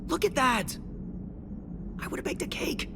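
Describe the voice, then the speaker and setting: An actor playing a cheeky, excitable starship pilot in a video game, joking and sarcastic with a creaky voice. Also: male voice, extremely high pitch, unhurried, background noise, full character voice. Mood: gleeful, defensive. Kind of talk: video game dialogue acting. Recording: clear ship ambience behind voice.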